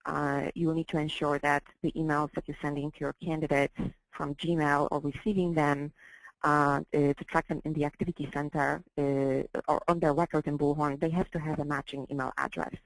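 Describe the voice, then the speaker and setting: Low voiced woman doing a slide training, gravelly fry, kinda halting step by step explaining, dry sound. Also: level tone, no room sound, rough recording. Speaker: software trainer. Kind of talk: slide-based training walkthrough.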